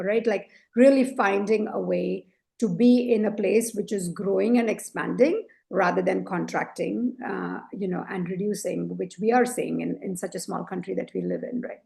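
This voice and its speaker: A woman leadership coach speaking as a podcast guest, with a low voice, reflective and earnest, often adding "you know" and "right?". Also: vocal fry, a little mellow. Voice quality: low, deep voice. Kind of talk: conversational interview.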